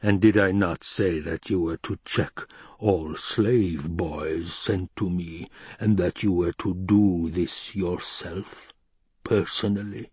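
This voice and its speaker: A man voicing a menacing obese baron who speaks slowly in a silken tone and then roars. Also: deep voice, steady tone, a little mellow, husky, no room sound, full character voice. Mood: silken menace.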